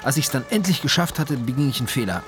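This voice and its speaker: A man's voice: medium pitch, expressive, quick pace, music in the background, natural voice. A man acting a self-pitying, defensive novelist in a radio drama, with a hesitant, stammering delivery. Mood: wry narrating. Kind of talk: radio drama monologue and dialogue.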